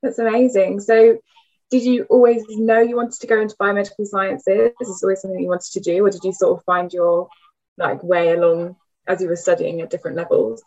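A woman interviewing a guest, asking quick, encouraging questions. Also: medium pitch, little pitch movement, a little mellow. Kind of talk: conversational interview. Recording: dull lo-fi sound.

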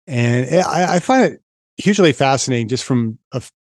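A man's voice: medium pitch, animated, fairly quick, darkish tone, no room sound, clean studio sound. A man hosting a conversational interview, explaining his own academic angle in an engaged way. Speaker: podcast host and physiology teacher.

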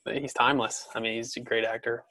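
A man's voice: medium pitch, flat delivery, a little fast, pushed and bright. A man chiming in on a casual movie podcast with short, offhand remarks. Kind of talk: casual movie review chat.

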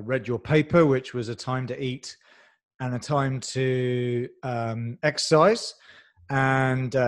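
A man with a mid-range voice hosts a science podcast. He talks enthusiastically and at length, full of fillers like "you know" and "um", and often restarts his sentences as he works toward a question. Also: mid-range voice. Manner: enthusiastic, rambling, many fillers. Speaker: podcast host. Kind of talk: casual podcast interview.

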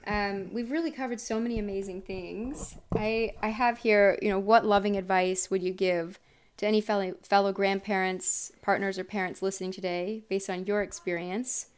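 A woman's voice: medium pitch, steady tone, normal speed, clean tone. A woman in her thirties hosting a casual talk with her father, earnest and chatty, often laughing. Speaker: host.

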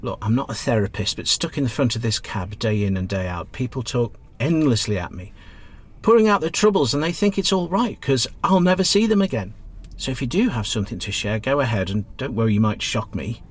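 An actor playing a chatty, good-hearted but easily exasperated British taxi driver in a radio drama. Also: male voice, medium pitch, expressive, a little fast, husky. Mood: kindly, coaxing. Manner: chatty, colloquial, calls passenger love.

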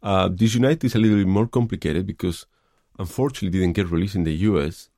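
A man's voice: sits low, quick pace, warm tone, dry recording, studio quality. A middle-aged man from Spain with a Spanish accent, answering interview questions thoughtfully, with many hesitations and fillers. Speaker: film director.

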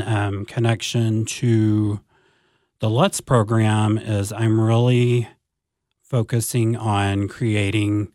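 A man, talking slowly as a podcast guest, with many ums and restarts. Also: lowish voice, a little mellow, no echo, studio quality. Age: 40s. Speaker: district advanced academics coordinator.

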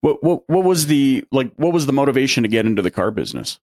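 A man in his late thirties hosting a casual interview, animated and often stumbling over his words. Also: mid-range voice, quick pace, no room sound. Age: 30s. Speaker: interview host.

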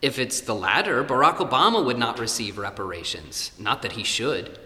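A man's voice: mid-range voice, expressive, moderate pace, sharp tone. A man playing a self-assured conservative debater in an audio-play panel, articulate and lecturing in manner. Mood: defensive, reasoned. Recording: echoey hall with long reverb.

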